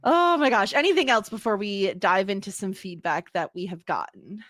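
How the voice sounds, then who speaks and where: A woman in her thirties with an upstate New York accent, co-hosting a podcast in casual chat, bubbly, laughing often and saying "like" a lot, with a slight vocal fry. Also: mid-range voice, normal speed, a bit bright, no room sound, studio quality. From United States. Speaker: podcast co-host.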